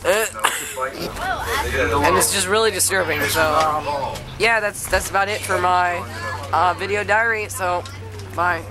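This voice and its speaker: A man's voice: sits high, slow, long pauses. A teenage boy on a class trip, joking around into a handheld camera in a crowded bus. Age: teens. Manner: clowning, mock video-diary talk, laughing a lot. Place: inside a moving tour bus. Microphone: handheld camera at arm's length.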